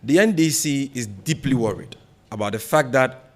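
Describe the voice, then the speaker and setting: A man's voice: medium pitch, expressive, moderate pace. Ghanaian man reading a formal political speech. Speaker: opposition party spokesman. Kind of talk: formal political speech read from a script at a press briefing.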